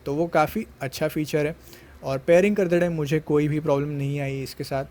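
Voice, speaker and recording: A man narrates an unboxing and review in a somewhat high voice. His intonation stays very level and unanimated. He explains each step methodically and drops English words into his speech. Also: steady tone, brisk, a little mellow. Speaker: product reviewer.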